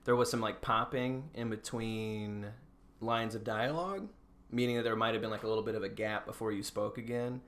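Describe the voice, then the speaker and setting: A man's voice: fairly low pitch, level tone, normal speed, fairly bright tone. An American man co-hosting a podcast, giving casual feedback in an encouraging, informal manner. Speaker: podcast co-host.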